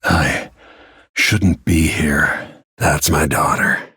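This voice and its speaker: A man voicing a grim, battle-worn middle-aged royal protector and assassin in a video game, with terse, brooding, measured delivery and a gravelly, husky voice. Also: extremely low pitch, fairly even intonation, bright, forceful tone, no room sound, clean studio sound, full character voice. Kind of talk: scripted video game character lines.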